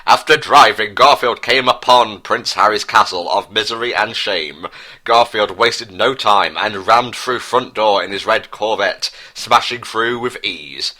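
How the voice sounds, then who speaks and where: Forceful low-voiced man narrating comically. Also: measured pace. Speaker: narrator and co-host. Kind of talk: comedic dramatic story narration.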